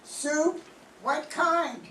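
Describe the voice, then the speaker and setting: An older woman playing a calm, methodical homicide sergeant in an old radio mystery, with deliberate, businesslike questioning. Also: high voice, heavily acted. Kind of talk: 1940s radio mystery acting, read from script. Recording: roomy, distant mic, faint noise.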